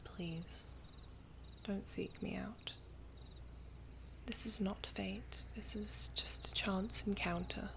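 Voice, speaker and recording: Woman playing a slow, whispery elven princess. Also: mid-range voice, fairly even intonation. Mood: guarded, wistful. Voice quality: breathy, whispery. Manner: slow, hushed, formal storybook phrasing. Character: gentle, wistful elven princess, guarded yet tender. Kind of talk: ASMR roleplay, soft whispery close speech.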